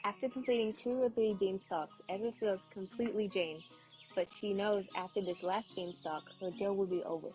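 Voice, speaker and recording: A woman's voice: mid-range voice, steady tone, normal speed, darkish tone, poor audio. A woman reading a scripted fairy tale as a storyteller. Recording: faint music bed under voice.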